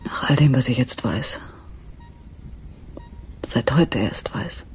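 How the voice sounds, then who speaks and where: An actress in a German radio play, playing an anxious, brooding wife and former nurse in a breathy, hushed voice. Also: female voice, very deep voice, lively intonation, slow, whispered, heavily acted. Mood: brooding, shaken. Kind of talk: German radio drama acting.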